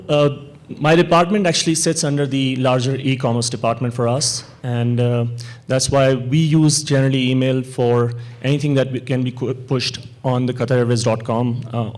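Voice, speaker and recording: A man with a Pakistani accent talks through his work as a conference panelist. His pitch sits in the middle range, and his delivery is relaxed, with frequent fillers as he goes. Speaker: panelist, airline email marketing manager.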